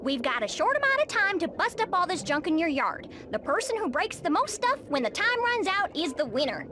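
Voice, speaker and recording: A woman's voice: very high voice, very animated, fairly quick, bright, forceful tone, faint music behind, heavily acted. A woman voicing a feisty, sporty squirrel in a cartoon video game, with a folksy, brash Texan drawl. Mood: competitive, confident. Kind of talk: animated video game voice acting.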